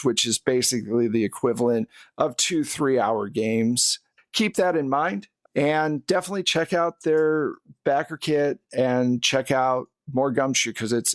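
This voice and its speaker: A man's voice: mid-range voice, no room sound. A man chatting casually as the game master and host of a podcast.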